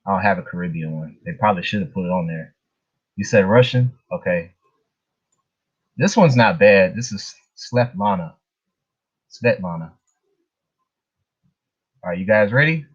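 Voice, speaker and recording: A man's voice: deep voice, lively intonation, unhurried, pauses often, breathy edge. A man hosting a casual livestream, chatting informally with his viewers. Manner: informal, chuckles between remarks. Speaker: livestream host. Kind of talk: casual live chat with viewers. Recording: dull, muffled lo-fi stream audio.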